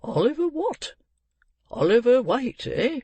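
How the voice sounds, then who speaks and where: A man voicing a brisk, kind-hearted old gentleman from a storybook, emphatic and given to repeating himself and questioning. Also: high voice, big pitch swings, breathy edge, no room sound, voice pushed up, heavily acted. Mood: brisk, puzzled. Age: older.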